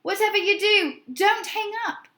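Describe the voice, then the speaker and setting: A woman's voice: very high-pitched, unhurried, pushed and bright, echoey room, voice pushed up, heavily acted. A woman voicing a desperate, exhausted man urgently pleading for help in a children's storybook. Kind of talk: children's storybook read-aloud.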